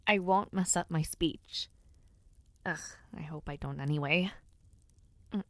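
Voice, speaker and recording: A woman voicing a playful, affectionate young noblewoman knight in an audio roleplay, speaking softly and teasingly and often dropping into whispers. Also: deep voice, unhurried, no room sound. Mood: chatty, teasing. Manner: soft, teasing and intimate, drops to whispers.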